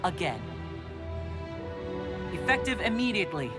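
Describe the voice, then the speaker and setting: A man's voice: high-pitched, very animated, unhurried. An actor playing a defiant, battle-hardened male leader in a video game cutscene, firm and blunt. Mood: resolute, defiant. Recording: loud music over dialogue.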